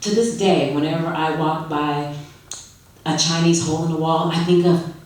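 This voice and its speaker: A woman giving an expressive live reading of a literary story, with dramatic phrasing and deliberate pauses. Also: low voice. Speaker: story reader, actress. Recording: very echoey hall, distant microphone.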